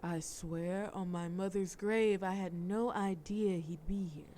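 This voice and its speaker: A woman's voice: on the low side, fairly even intonation, a little slow, warm tone, slight background noise, lightly acted. A woman playing a cunning, flirtatious mafia boss in a scripted audio roleplay, sultry, persuasive and teasing, with cool threat. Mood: smooth, persuasive.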